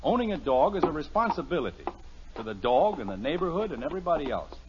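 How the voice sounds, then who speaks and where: A man's voice: fairly high pitch, big pitch swings, fast talker. A man playing an exasperated, sarcastic middle-aged suburban father in a 1950s radio comedy. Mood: irritated lecturing. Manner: blustering, sarcastic. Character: exasperated, grumbling suburban family father, secretly soft-hearted. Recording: muffled, noisy 1950s radio recording.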